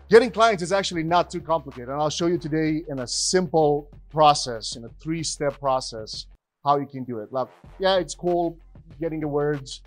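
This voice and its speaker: Energetic man on stage. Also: on the high side. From Romania. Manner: energetic, conversational, rising emphasis. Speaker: podcast host and business speaker. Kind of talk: stage presentation to a live audience.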